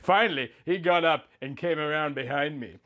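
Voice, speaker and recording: A man reading a romantic story aloud in a dramatic, drawn-out, theatrical manner. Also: fairly high pitch, sharp tone. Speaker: narrator reading a fan story. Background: background music.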